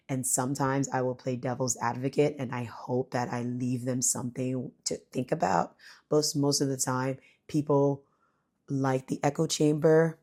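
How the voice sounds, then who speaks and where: A woman with a hoarse voice from illness, speaking softly and thinking aloud in a rambling, reflective home monologue. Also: a bass voice. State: hoarse, losing her voice from illness. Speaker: vlogger. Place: at home, speaking quietly while her son sleeps.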